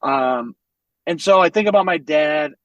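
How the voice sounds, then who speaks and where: A man in his forties from the American Midwest tells family stories as a podcast guest. His voice sits a bit high for a man and sounds close and dry. He talks in a lively, loose way, swears freely and laughs through his stories. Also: a little slow, dry recording, muffled recording. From United States, Northwest Indiana. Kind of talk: casual storytelling conversation.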